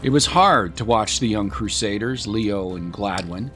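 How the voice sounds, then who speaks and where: A man narrating a scripted dramatic story in a measured, solemn manner. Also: lowish voice, a bit bright, soft background music.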